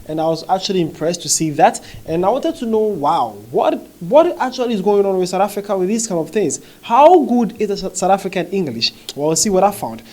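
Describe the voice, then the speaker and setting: A man's voice: high-pitched, darkish tone. A man talking conversationally as he reads social media comments aloud and reacts to them, with frequent fillers and check-ins like "okay?". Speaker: commentary vlogger.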